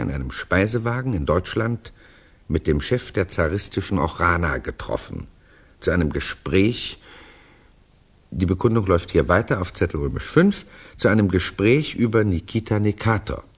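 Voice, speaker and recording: A wry gentleman reading out notes in a 1960s German radio play. His low voice is husky and swings widely in pitch as he adds emphatic asides of his own. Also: big pitch swings, moderate pace, heavily acted. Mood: sardonic, emphatic. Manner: wry, emphatic, commenting as he reads. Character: wry man reading out an old teacher's notes. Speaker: frame narrator.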